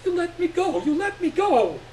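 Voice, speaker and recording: A man's voice: very high voice, sing-song, moderate pace, darkish tone, roomy sound, distant mic, a little hiss or hum, voice pushed up, poor audio. A man reading a story as a furious, frantic mother, indignant and exclaiming. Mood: frantic protest. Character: furious, frantic mother of three boys, sarcastic and shouting.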